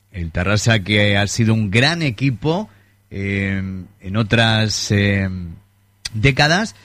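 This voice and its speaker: A man hosting a local radio sports show, drawing out his phrases and filling pauses. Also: medium pitch, fairly bright tone, dry recording. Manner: drawn-out, fills pauses with "eh". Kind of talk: radio presenting.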